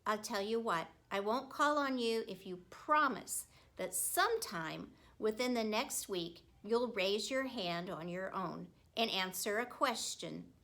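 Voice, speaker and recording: A woman reading the part of a strict, brisk schoolteacher in a children's storybook, firm and deliberate as she coaxes. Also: mid-range voice, expressive, bright, forceful tone. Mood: firm, coaxing.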